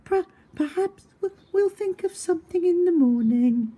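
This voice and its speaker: Woman acting high, shivering shoemaker's wife. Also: expressive, moderate pace, mellow. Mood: shivering, hopeful. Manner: chattering, stuttering with cold. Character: cold, shivering, stammering shoemaker's wife, gently hopeful.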